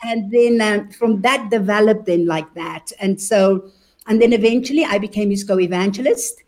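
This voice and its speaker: A woman's voice: medium pitch, normal speed, a little mellow. A woman with a South African accent, an evangelist sharing her story earnestly in an interview. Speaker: evangelist guest. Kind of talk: interview testimony.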